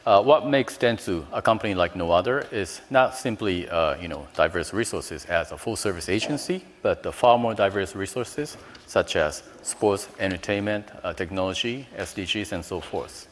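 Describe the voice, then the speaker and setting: A man answers as a panelist, pausing often on "uh" between phrases. His voice is very low. The sound carries a long hall reverb over a faint background hiss. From Japan. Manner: hesitant, many "uh" pauses. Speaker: panelist, business development director.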